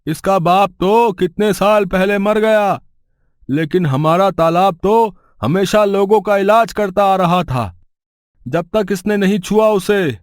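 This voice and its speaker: A man playing an agitated villager in a story, loud, urgent and accusing. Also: high-pitched, slow pace, no room sound, clean studio sound. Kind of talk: dramatic story dialogue.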